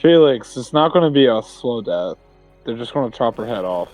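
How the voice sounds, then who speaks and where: A man's voice: medium pitch, lively intonation, normal speed, darkish tone, soft background music, poor audio. A man playing a tabletop game, chatting casually and describing what his character does. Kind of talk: casual role-play chat.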